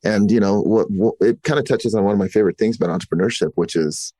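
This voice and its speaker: Man, podcast host, very low voice, dark tone, relaxed, filler-heavy. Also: fast talker, dry recording, studio quality. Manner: relaxed, filler-heavy, says "you know" a lot. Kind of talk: casual interview chat.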